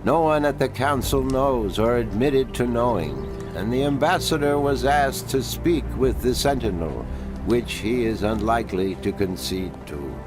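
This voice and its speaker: A man voicing an ancient, wise being of a magical people in a fantasy game, speaking in a formal, deliberate, solemn way. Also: mid-range voice, animated, over music, natural voice. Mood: measured, guarded. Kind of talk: fantasy game character dialogue.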